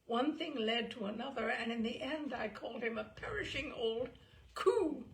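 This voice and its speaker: A woman voicing a flustered, aggrieved young suitor who blurts things out excitably. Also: fairly high pitch, normal speed. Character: flustered, aggrieved, tipsy-sounding young English suitor, male.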